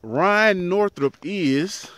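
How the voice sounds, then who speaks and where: A man in his thirties, a truck driver, chatting casually to his viewers in a handheld vlog, outdoors by a highway with the phone at arm's length. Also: extremely slow, fairly bright tone. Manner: chatty, talks to viewers as "y'all", laughs easily. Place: outdoors in an empty desert town by a highway. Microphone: phone held at arm's length.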